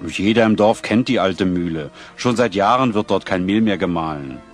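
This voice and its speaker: A man playing a dignified, vain small-town mayor in a children's radio play, speaking formally and with measured words. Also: sits low, soft background music, close to an everyday voice. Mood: storytelling, condescending.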